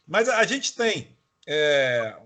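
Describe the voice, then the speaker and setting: A man's voice: fairly high pitch, big pitch swings, very slow, pushed and bright. A man from Bahia with a Carioca accent, hosting an interview in a chatty, casual way with frequent restarts. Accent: Carioca Portuguese. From Bahia, Brazil. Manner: chatty, laughs while talking, many restarts. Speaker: interviewer host.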